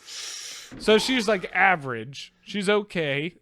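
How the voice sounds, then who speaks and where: A man's voice: high-pitched, expressive, slow, sharp tone, slight background noise. A young man co-hosting a podcast in casual chat, loose and joking, cursing and acting out quoted lines. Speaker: podcast co-host.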